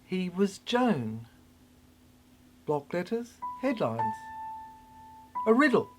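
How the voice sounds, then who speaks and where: A performer delivering a theatrical spoken-word monologue in fragmented, halting phrases with dramatic swings. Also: mid-range voice, big pitch swings, faint music behind.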